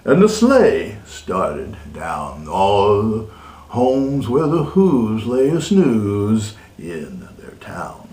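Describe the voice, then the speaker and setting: A man's voice: on the low side, wildly swooping pitch, drawn-out words, dark tone. A man giving a dramatic storybook reading with theatrical, exaggerated emphasis. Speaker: co-host and story reader. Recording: roomy echo, distant mic.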